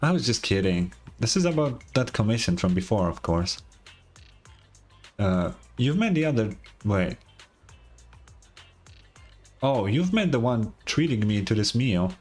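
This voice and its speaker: A man with a fairly low voice gives casual let's-play commentary. He is informal and wry, reacting to the game as its music plays faintly behind him. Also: very animated, a little slow, long pauses, darkish tone, slightly rough. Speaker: game streamer.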